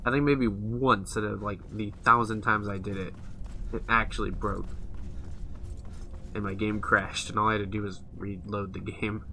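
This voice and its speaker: A man's voice: on the low side, fairly even intonation, normal speed, creaky, pushed and bright. A man giving casual, rambling let's play commentary on a video game. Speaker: gaming video host. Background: game music.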